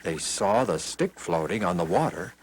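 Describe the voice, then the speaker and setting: A man reading children's Bible stories as scripted narration, dramatic and expressive, acting out the dialogue. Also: sits low, normal speed, a little hiss or hum, rough recording. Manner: animated, dramatic, voices the characters.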